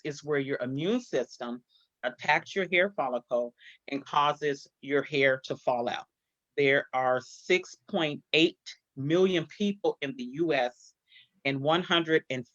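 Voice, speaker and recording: A woman hosting an online interview show, warm and encouraging, who calls her guest "sis". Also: low-pitched, dry recording. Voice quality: speaks from personal experience of hair loss. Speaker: host. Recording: dry, muffled sound.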